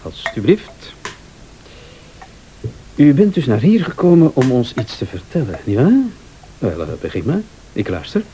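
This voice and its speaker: An actor with a Flemish accent playing a scheming, prickly husband, a former bar owner, in a radio thriller, speaking with sardonic mock politeness. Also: male voice, medium pitch, very animated, a little slow, long pauses, warm tone, slight background noise, poor audio. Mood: sardonic, mock-polite.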